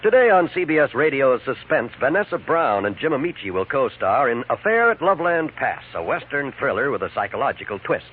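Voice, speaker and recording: An American male announcer from fifties network radio reads a scripted promo. His delivery is lively and swooping, with a husky edge, on a narrow tinny recording. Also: medium pitch, brisk, few pauses.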